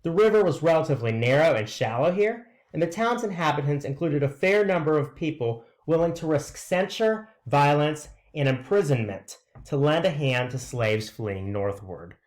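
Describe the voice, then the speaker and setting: A man's voice: on the high side, expressive, normal speed, few pauses. A man reading aloud in a scripted narration style, presenting a written story to listeners. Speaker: reader presenting a read-aloud.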